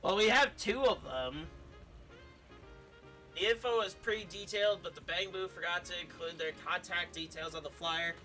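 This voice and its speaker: Bright, high-voiced man streaming a game. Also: moderate pace, soft background music, poor audio. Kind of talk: playthrough commentary and reading game text aloud.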